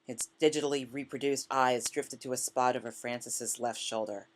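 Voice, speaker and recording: Woman with a really deep voice reading a short story out loud, kinda measured. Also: steady tone. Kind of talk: literary story reading, scripted narration.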